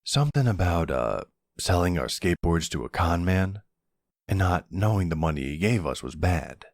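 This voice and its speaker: Man playing a deep, gravelly flirty mafia boss. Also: big pitch swings, normal speed, dry recording, clean studio sound, heavily acted. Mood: amused reminiscing.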